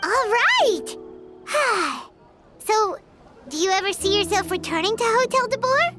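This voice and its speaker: A squeaky, childlike fairy companion. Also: female voice, expressive, measured pace. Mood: chirpy. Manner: refers to herself in the third person; chirpy, exclamatory. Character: tiny floating female fairy-like companion, childlike and excitable. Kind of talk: video game voice acting. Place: recording studio. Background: game background music.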